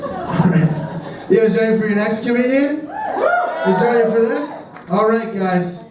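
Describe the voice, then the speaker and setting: A man's voice: very high-pitched, wildly swooping pitch, far from the mic, very noisy. A man hosting at a comedy club stage, joking with the crowd before he brings up the next comedian. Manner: quick, joking crowd work. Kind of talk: stand-up hosting, introducing the next act. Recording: echoey room, mic heard from afar. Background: audience laughter.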